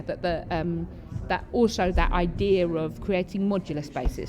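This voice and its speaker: A woman speaking conversationally as an interview guest, with involuntary vocal tics that can interject stray words. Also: on the low side. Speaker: panellist and theatre-maker being interviewed. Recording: busy venue with crowd chatter behind. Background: crowd chatter.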